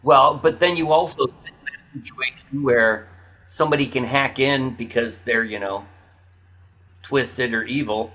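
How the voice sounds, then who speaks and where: A man's voice: mid-range voice, moderate pace, bright, forceful tone, low-quality recording. A man talking casually as a panelist on a podcast.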